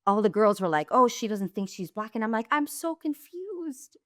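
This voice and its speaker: A woman hosting a podcast, first generation in the US with Jamaican and Guyanese roots, talking in an animated, emphatic way. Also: on the high side, moderate pace, no room sound, clean studio sound. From Jamaican and Guyanese heritage, first generation in the US. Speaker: podcast host. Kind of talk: conversational interview.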